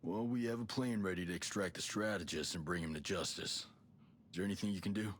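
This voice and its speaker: An actor playing a gruff, confident middle-aged special forces soldier in a video game. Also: male voice, low-pitched, fast, fairly bright tone, a little hiss or hum. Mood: firm, dry. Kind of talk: video game cutscene acting.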